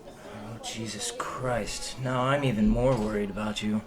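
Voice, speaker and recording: A man's voice: lowish voice, steady tone, a little slow, faint music behind, natural voice. An actor playing a protective, concerned man in an audio drama, earnest and reassuring. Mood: worried, reassuring. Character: concerned, steady male confidant, protective problem-solver.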